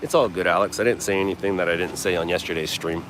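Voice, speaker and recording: Deep-voiced man on a noisy street. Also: fast. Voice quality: deep, carrying voice. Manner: offhand, chatty, thanks viewers by name. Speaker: livestream host. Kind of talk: casual live stream chat with viewers. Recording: noisy outdoor street recording.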